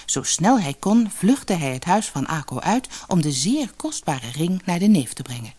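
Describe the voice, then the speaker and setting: A woman reading a fairy tale as an audiobook storyteller, expressive and measured. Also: lowish voice, slightly rough. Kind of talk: scripted fairy-tale narration.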